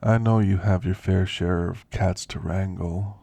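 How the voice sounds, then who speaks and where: A man playing a kindly elderly werewolf in an ASMR roleplay, with a gravelly voice and a folksy, gently chatty manner. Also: deep voice, steady tone, unhurried, no room sound, heavily acted. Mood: gentle, friendly. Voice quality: gravelly, creaky. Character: kindly, frail elderly male werewolf, teasing and grandfatherly. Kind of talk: ASMR roleplay, intimate character monologue.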